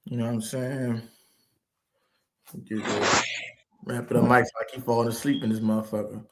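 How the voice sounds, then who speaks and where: A man's voice: medium pitch, flat delivery, normal speed, very noisy. A man hosting a casual late-night livestream from home on a laptop mic, laid-back, profane and slangy. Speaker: stream host.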